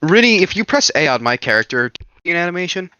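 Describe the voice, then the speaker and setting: A man's voice: fairly high pitch, very animated, fast talker, bright, forceful tone, smooth, no echo. A man taking part in a casual, sweary debate among friends. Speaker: panelist in a group discussion.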